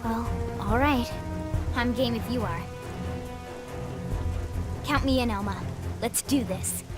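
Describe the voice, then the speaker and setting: High-pitched, plucky young soldier. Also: female voice, slow, over loud music. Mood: earnest, determined. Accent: American. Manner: breezy, slangy, expressive. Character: eager, upbeat young female soldier, casual and plucky. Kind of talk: video game cutscene acting.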